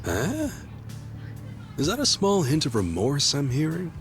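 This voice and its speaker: A brash, wisecracking young yakuza buddy in a game dub. His pitch swings widely, though he talks at an unhurried pace. He is teasing and excitable and swears freely, with game music playing clearly behind him. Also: full character voice. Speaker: game character. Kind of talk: English video game dub. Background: game soundtrack music.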